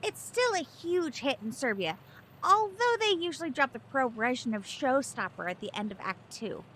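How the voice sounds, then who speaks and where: A woman's voice: high-pitched, sing-song, moderate pace, fairly bright tone, slight background noise. A woman voicing a bubbly, theatrical sidekick creature who adores musicals, in an audio drama. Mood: gushing, thrilled.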